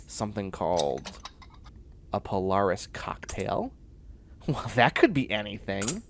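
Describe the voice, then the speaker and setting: Casting: male actor as young male sci-fi science nerd, boastful and snarky, unhurried delivery with gravelly fry. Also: lowish voice, a little hiss or hum, heavily acted. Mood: curious browsing. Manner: chatty, snarky, boastful, muttering asides and exclamations. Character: nerdy, self-assured young male bioengineering student in sci-fi future. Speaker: voice actor in audio roleplay. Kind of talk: comedic one-sided audio roleplay.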